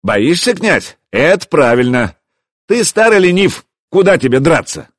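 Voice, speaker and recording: A man voicing a defiant, mocking warrior chieftain who calls out loudly across a distance in an audiobook. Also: mid-range voice, animated, takes it slowly, no echo, studio quality. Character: defiant, taunting young warrior chieftain shouting challenges.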